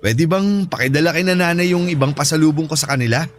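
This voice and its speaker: A man's voice: on the high side, fast talker, slight background noise, close to an everyday voice. A man playing a caring, easygoing Filipino shipmate and buddy in an audio drama, encouraging in manner. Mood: generous, easygoing.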